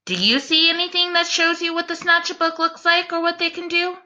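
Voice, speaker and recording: A woman teacher reading a picture book aloud to children expressively and deliberately, pausing to put questions directly to her young listeners. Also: very high voice, sharp tone.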